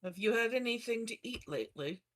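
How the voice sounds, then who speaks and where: A woman's voice: on the high side, animated, a little fast, fairly bright tone. A woman playing a tabletop roleplaying game, asking questions in a casual, polite, tentative way.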